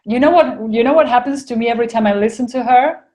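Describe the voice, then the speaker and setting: A woman's voice: a little high, quick pace, darkish tone. Chatty woman with an Argentinian accent. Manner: chatty, many fillers and restarts. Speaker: podcast guest. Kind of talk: casual interview conversation.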